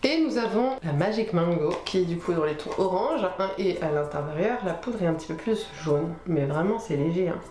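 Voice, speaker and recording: A woman's voice: fairly low pitch, animated, brisk, hardly any pauses, darkish tone. A woman with a head cold chatting informally through a casual makeup tutorial as a beauty vlogger, talking while she works. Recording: roomy echo with music behind.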